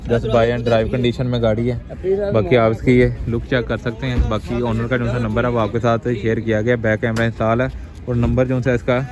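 A man's voice: medium pitch, fairly even intonation, normal speed, crowd noise. A Pakistani man presenting used cars in walk-around commentary, with English words mixed into repetitive, salesman-like patter, outdoors at a car market. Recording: dull sound, clear outdoor traffic noise.

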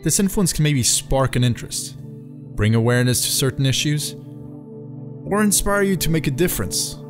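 A man's voice: fairly high pitch, lively intonation, moderate pace. Reflective male narrator over background music. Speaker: video essay host. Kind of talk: scripted documentary narration. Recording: music under the voice.

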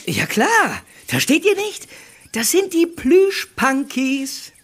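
A woman's voice: high-pitched, huge pitch swings, slow pace, heavily acted. An actress playing a proud, perky young woman designer in an audio drama, showing off with a flourish. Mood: proud, showy. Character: proud, showy young woman designer presenting cute creations. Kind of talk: German children's audio drama acting.

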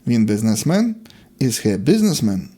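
Guy teaching english, kinda husky and mellow, short phrases one at a time, pitch moves around a lot. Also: very animated, moderate pace. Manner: instructional, short separate sentences. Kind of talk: language lesson, reading example sentences in Ukrainian and English.

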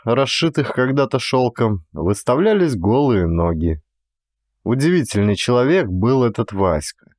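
A man reading a classic story as an actor-narrator, with expressive scripted delivery. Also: fairly low pitch, no echo, clean studio sound. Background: music.

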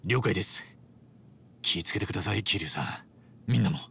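An actor playing an earnest, excitable, rough-edged gangster hero in a game, with a gravelly voice and boyish, casual delivery. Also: male voice, low voice, a little fast, exaggerated voice. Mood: upbeat. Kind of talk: animated Japanese game cutscene acting.

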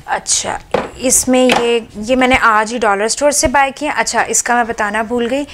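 A woman talks casually through a home kitchen walkthrough, her voice sitting somewhat high. She chats easily, dropping English words into her sentences. A faint hiss lies under the recording. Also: steady tone, normal speed. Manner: chatty, mixes English words into Hindi. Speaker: cooking channel host.

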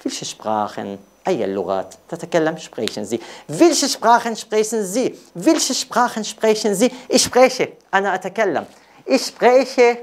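A man's voice: high-pitched, sing-song, normal speed. A man from Jordan with a Jordanian Arabic accent, a native Arabic speaker teaching a bilingual beginner language lesson and carefully repeating phrases for learners.

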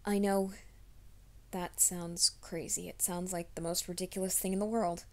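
A woman voicing a gentle, nervous young male werewolf in an ASMR roleplay, speaking softly and often in whispers. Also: lowish voice, level tone, normal speed, lightly acted. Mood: soft reassuring. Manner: soft, hushed, often whispering.

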